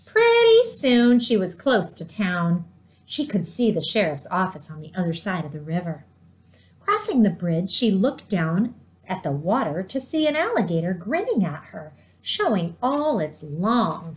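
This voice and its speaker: A middle-aged woman reading a children's storybook aloud in an animated way, with dramatic, drawn-out emphasis. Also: medium pitch, rough recording. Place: living room at home, indoors.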